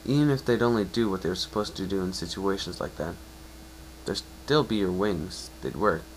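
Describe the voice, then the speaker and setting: A man reading a story aloud in the second person as a dramatic narration, a little haltingly. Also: low voice, a little hiss or hum, poor audio.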